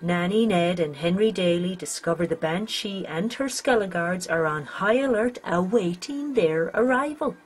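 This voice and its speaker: A woman's voice: lowish voice, lively intonation, normal speed, hardly any pauses, slightly rough, poor audio. A woman with an Irish accent narrating a scripted children's storybook. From Ireland. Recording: music under the voice.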